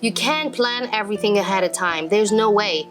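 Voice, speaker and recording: Woman in her thirties, raised in Belgium, medium pitch, unscripted vlog storytelling, explanatory, music behind. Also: moderate pace. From Belgium (born in Morocco). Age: 30s. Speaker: travel vlogger and business coach. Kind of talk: unscripted personal story to camera.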